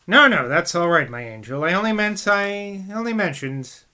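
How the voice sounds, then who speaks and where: Folksy old mayor with a rustic West Country burr, read by a man, fond, bright tone. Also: high-pitched, expressive, normal speed, exaggerated voice. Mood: reassuring. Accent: rustic West Country English put on. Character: older male small-town mayor, fond and stubborn.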